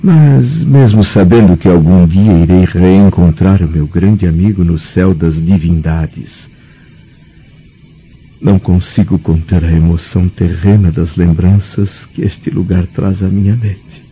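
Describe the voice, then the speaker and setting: An actor playing a grave, nostalgic Roman senator in a formal, solemn radio drama style. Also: male voice, deep voice, measured pace, dark tone, slightly rough, exaggerated voice. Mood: wistful, solemn. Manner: solemn, declamatory. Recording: muffled, dull radio drama recording.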